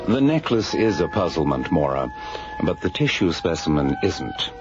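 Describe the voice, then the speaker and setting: Casting: erudite gentleman scholar, low voice with lively swings, radio drama over faint music. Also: dull audio. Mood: earnest. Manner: formal, measured, erudite. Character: courtly older male scholar, learned and keen on bold theories. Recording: band-limited old radio drama, music behind.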